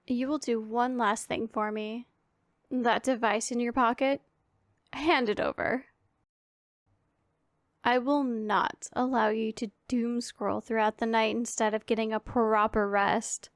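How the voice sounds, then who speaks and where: A powerful demoness acted by a woman in an intimate ASMR roleplay, with a fairly high voice and slow, deliberate delivery. She is imperious and formal, giving orders with a caring streak underneath. The sound is close and dry. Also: steady tone, no room sound. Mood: commanding. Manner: authoritative, formal, imperious diction. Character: stern, commanding yet secretly caring powerful female demon. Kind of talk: scripted ASMR roleplay addressed to the listener.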